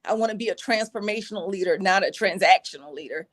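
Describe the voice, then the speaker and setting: A middle-aged woman with a raspy voice, speaking earnestly and reflectively as an interview guest, with many fillers. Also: mid-range voice, fast talker. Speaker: guest, executive communication advisor. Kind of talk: conversational interview.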